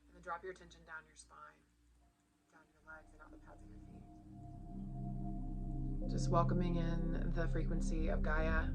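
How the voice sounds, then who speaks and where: Female yoga teacher, low-pitched, soothing and unhurried, leading spiritual guided meditation with prominent music underneath. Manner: soothing, unhurried, gentle phrasing with pauses.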